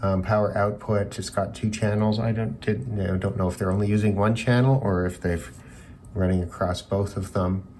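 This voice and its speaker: A man's voice: lowish voice, fairly even intonation, normal speed, darkish tone, a little hiss or hum. A man giving unscripted commentary as a hobbyist taking electronics apart, musing and tentative as he thinks aloud. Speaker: hobbyist reviewer of radios.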